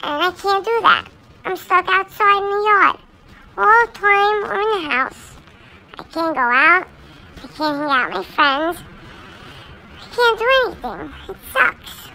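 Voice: very high-pitched, gravelly, bright, forceful tone, full character voice, low-quality recording. A performer voicing a chatty six-month-old male Sheltie puppy who rambles on in an earnest, childlike way. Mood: indignant. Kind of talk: talking-pet video monologue.

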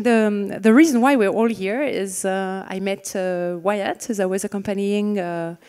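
A woman in her thirties with a French accent hosts a stage chat, speaking into a handheld microphone in a reverberant conference hall. She talks in a chatty, joking way, full of fillers and restarts, and her voice sits at a middling pitch. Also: darkish tone. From France. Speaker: moderator. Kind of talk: stage fireside chat moderation.

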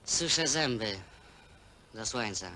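An actor playing a gruff, dismissive city man, curt and deadpan, in naturalistic film drama. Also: on the low side, slow, full character voice. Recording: faintly noisy old film soundtrack.

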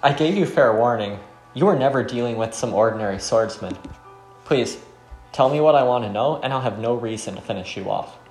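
A man's voice: medium pitch, a little fast, darkish tone, close to an everyday voice. A man reading aloud the lines of a stern, self-assured swordsman in a visual novel. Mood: firm warning. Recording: roomy echo, faint music behind.

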